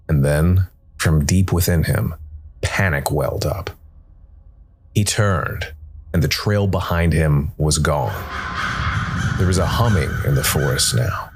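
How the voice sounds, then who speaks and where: A man hosting and narrating a scripted story podcast in a measured way, with dramatic pauses. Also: low-pitched, slightly rough. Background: soft music bed.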